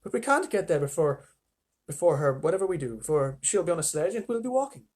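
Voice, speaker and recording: A parent reading a storybook aloud, voicing a brisk, motherly female beaver who calls others dearie. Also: mid-range voice, very animated, a little mellow. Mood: calm.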